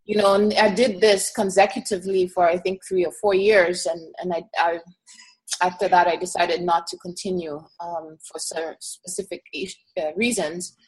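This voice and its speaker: A middle-aged woman from East Africa, a herbalist guest speaking in a relaxed, earnest interview and pausing often to think. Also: lowish voice, low-quality recording. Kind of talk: casual conversational interview.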